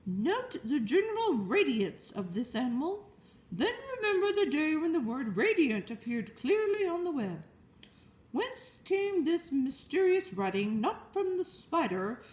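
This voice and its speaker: A woman's voice: very animated, measured pace. A woman reading aloud and voicing a long-winded male fair announcer in a grand, declaiming way, in a roomy space. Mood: ceremonious. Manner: grand, declaiming, high and loud. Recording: roomy echo.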